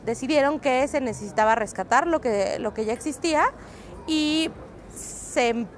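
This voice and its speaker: A woman's voice: sits high, unhurried. A woman in her thirties with a Mexican accent, a reporter explaining at length in a street interview, fluent but with frequent "eh" fillers. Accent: Mexican Spanish. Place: outdoors on a busy city street. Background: faint street ambience.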